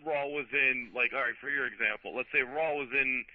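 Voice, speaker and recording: Fast-talking, blunt radio co-host. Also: male voice, medium pitch, dry recording. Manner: fast, opinionated, blunt, swears. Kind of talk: casual wrestling talk radio. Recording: dull lo-fi internet radio audio.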